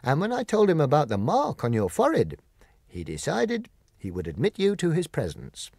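Man playing a formal, loud-voiced palace soldier. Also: fairly high pitch, wildly swooping pitch, normal speed, dry recording, clean studio sound, pitched above the performer's own voice, exaggerated voice. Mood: dutiful. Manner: courteous, formal, emphatic. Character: polite, dutiful palace soldier with long beard.

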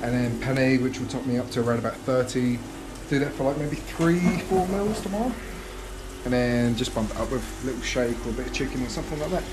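A man's voice: medium pitch, lively intonation, fast talker. A young man, chatting casually and explaining as he cooks in his home kitchen, filmed on a close handheld camera. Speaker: vlogger. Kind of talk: casual vlog chat while cooking. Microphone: handheld camera held close. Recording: home kitchen with sizzling pan noise. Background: frying sizzle.

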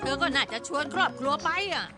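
Woman voicing very high-pitched excitable robot cat. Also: brisk, close to an everyday voice. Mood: busy, excited. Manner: exclamatory, chatty. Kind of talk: animated cartoon dubbing. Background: background music.

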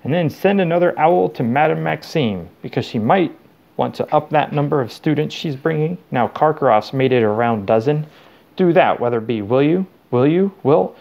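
A man's voice: a little high, expressive, moderate pace, vocal fry, lo-fi sound. A man voicing a deluded older official who fluently and officiously gabbles orders to nobody. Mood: brisk, self-satisfied.

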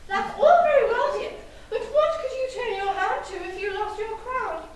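A woman plays a pantomime queen who teases and nags her husband. Her projected voice is very high and animated. It is recorded from far off in an echoey theatre. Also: moderate pace, a little hiss or hum. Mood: teasing. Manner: teasing, projected stage delivery. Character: teasing pantomime queen, dry nagging wife. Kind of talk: stage pantomime acting. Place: theatre stage, recorded from afar.

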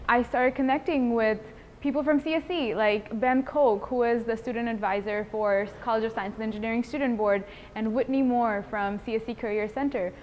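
A woman's voice: on the high side, steady tone, fairly quick, darkish tone, slight background noise, rough recording. A woman of about twenty from Bangladesh, speaks earnestly and articulately as a student giving an interview testimonial. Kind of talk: interview testimonial, semi-prepared talk. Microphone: lapel mic.

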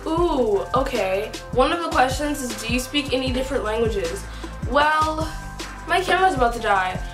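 A high-pitched teenage girl rambles through a casual get-to-know-me chat, scattering fillers as she goes. Her voice bounces around an echoey bedroom, with music playing clearly behind her. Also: animated, moderate pace. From United States, East Coast. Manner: rambling and upbeat, many "like" and "whatever" fillers. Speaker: vlogger on a shared channel. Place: small bedroom indoors. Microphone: camera mic at close range.

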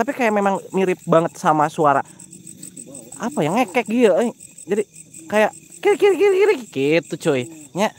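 Stuffy-nosed Sundanese young man outdoors. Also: sits high. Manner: shifts between quick chat and hushed, drawn-out calls to spirits. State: stuffy nose from a cold. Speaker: paranormal explorer vlogger. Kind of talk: night ghost-hunting vlog, casual chat and invocations. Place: outdoors at night among banana plants. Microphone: phone camera held close.

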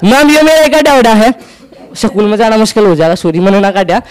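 Young guy, haryanvi accent, really high pitched, stand-up bit on stage, acts out both sides of a chat. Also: animated, a crowd in the background. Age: young adult. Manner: acts out dialogue in quick back-and-forth. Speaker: stand-up comedian. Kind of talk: stand-up storytelling. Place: indoor stage with curtain backdrop. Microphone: handheld mic.

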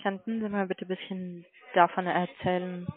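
A woman's voice: on the low side, little pitch movement, moderate pace. A woman on a sales call, formal, polite but firm. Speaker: call centre sales agent. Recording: narrow phone-line audio.

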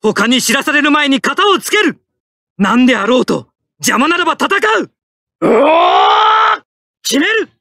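A man's voice: very high voice, big pitch swings, unhurried, sharp tone, no room sound, clean studio sound, heavily acted. An actor playing a stoic young male mecha pilot in an anime combat game, speaking in terse, tactical military phrases. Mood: intense battle cries.

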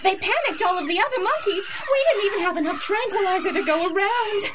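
Shrill, frantic young assistant. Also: female voice, expressive, fast talker. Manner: panicky, breathless pleading. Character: frantic, frightened young female zoo assistant. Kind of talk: 1930s-style radio adventure acting. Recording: loud animal noise behind the voice.

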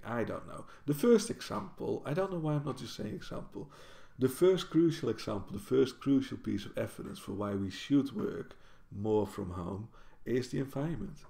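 A man's voice: fairly low pitch, creaky, warm tone. A man, talking casually to camera at home and rambling, correcting himself as he goes. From Netherlands. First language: Dutch. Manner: rambling, self-correcting, with many restarts. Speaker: university librarian. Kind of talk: casual talking-head vlog. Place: home kitchen, indoors. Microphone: built-in camera mic at desk distance. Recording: roomy kitchen echo.

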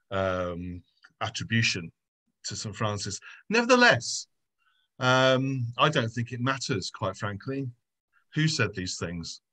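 A middle-aged man speaks over a webcam from a room at home, his voice fairly low. He argues his point in a measured, earnest way and often pauses on an um. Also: a bit bright. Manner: measured, earnest, many ums. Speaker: debater, subdeacon. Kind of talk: formal debate presentation. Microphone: video call webcam.

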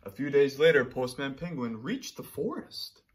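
Man, thirties, teacher, children's read-aloud, very varied intonation, medium pitch, encouraging, animated. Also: moderate pace, a little hiss or hum. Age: 30s. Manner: animated, encouraging, addresses young children directly.

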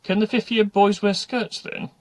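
A man's voice: sits high, fairly even intonation, normal speed, dry recording, pitched above the performer's own voice. A man voicing a brash, streetwise schoolgirl who talks back in a blunt, scornful, colloquial way. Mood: cheeky.

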